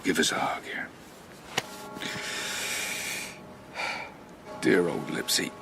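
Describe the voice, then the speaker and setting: A man acting a roguish, expansive father and scheming charmer in a radio drama, rambling and avuncular, moving between hushed and hearty. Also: a little fast, heavy background noise, close to an everyday voice. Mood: tender, wistful.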